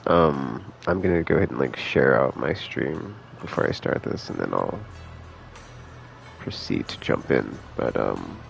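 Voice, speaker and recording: Very deep, gravelly male game streamer. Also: sing-song, measured pace, faint music behind. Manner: laid-back slangy, thinking aloud. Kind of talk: casual rambling stream commentary. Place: indoors, live stream with game music behind.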